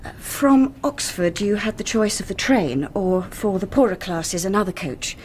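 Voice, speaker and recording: A composed, helpful British librarian, played by a woman in a radio drama. She speaks at a middle pitch, with faint ambience behind her. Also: animated, moderate pace, a little mellow, a little hiss or hum, natural voice. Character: helpful, composed female librarian, younger than the detective.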